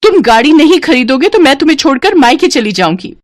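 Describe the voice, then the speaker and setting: A woman's voice: high-pitched, very animated, quick pace, no room sound, clean studio sound, voice pushed up. A woman voicing a greedy, sulky young wife in a moral story, whiny, insistent and dramatic. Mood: petulant, demanding.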